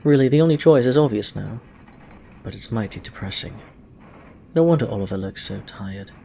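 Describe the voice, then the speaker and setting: A man's voice: mid-range voice, lively intonation, normal speed, gravelly, darkish tone, slight background noise. A man reading fiction aloud as a scripted narration. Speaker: audio fiction reader. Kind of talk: scripted story narration with acted dialogue.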